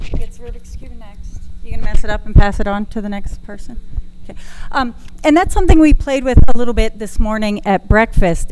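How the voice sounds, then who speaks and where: A woman with a medium-pitched voice speaks informally to a conference room as a co-presenter. She engages the audience interactively, and a faint noise sits behind her.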